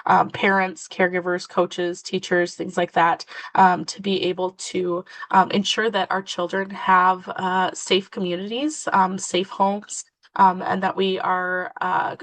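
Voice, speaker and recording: Halting woman presenter with a cold. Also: lowish voice, fairly bright tone, dry recording. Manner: halting, many fillers. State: nasal from a cold. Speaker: prevention education coordinator. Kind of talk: webinar presentation.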